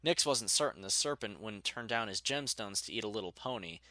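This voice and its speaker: A man narrating a scripted story in an expressive storytelling manner. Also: fairly low pitch, fairly quick, no echo.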